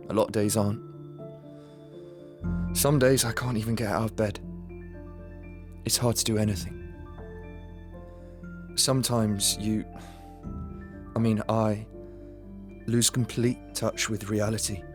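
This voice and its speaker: A man's voice: on the low side, steady tone, measured pace, long pauses, over music, close to an everyday voice. A man, playing a troubled, anxious and paranoid young man in a radio drama. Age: 20s. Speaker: young man with schizophrenia, narrator of his story.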